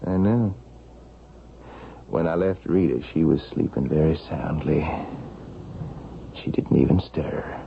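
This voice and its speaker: An actor playing a scheming, smooth-talking husband in a vintage radio drama, coolly polite and insinuating. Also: male voice, very deep voice, takes it slowly, long pauses, full character voice. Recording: dull, noisy old radio recording.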